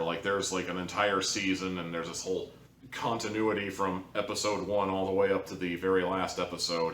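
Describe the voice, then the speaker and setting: A man's voice: deep voice, a bit bright, slight background noise. A man giving a casual review monologue in a rambling, hedging, conversational way. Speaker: audio drama reviewer. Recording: roomy echo, distant from the mic.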